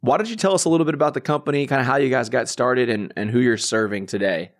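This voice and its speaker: A man's voice: mid-range voice, fast. Upbeat, chatty male podcast host. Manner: upbeat, chatty, laughs easily, lots of fillers. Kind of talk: casual podcast interview.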